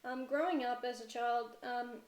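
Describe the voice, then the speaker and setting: A woman's voice: fairly high pitch, steady tone, moderate pace. A young woman from Canada talking casually about her life, hesitant and full of fillers. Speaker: vlogger. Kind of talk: casual personal vlog talk. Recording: roomy echo.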